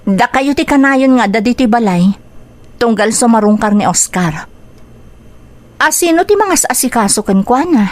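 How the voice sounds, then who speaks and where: A woman's voice: on the high side, animated, moderate pace, pauses often, a little mellow, lightly acted. A woman playing a hurt, confused mother in a melodramatic radio drama, with an Ilocano accent. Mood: indignant. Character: hurt, confused young mother rejected by her own child.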